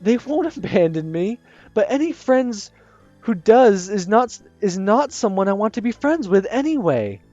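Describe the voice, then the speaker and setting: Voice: medium pitch, very animated, a little slow, warm tone, dry recording, dull audio, soft background music, voice pushed up. A gamer reading as an earnest caring schoolboy. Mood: reassuring. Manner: urgent, insistent.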